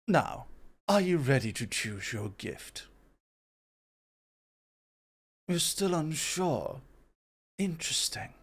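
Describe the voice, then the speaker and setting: A man voicing a proud, composed god of creation in an ASMR roleplay, speaking in a measured, formal, self-assured way. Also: a little high, sing-song, husky, heavily acted. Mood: patient, grave.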